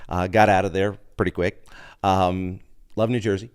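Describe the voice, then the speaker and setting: A man talks easily as a podcast guest. His voice sits low and sounds smooth and clear. He tells his stories by acting out the people in them. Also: little pitch movement, normal speed. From United States. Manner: chatty storyteller who acts out quoted people. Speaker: podcast guest, open mic organizer. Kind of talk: casual interview chat.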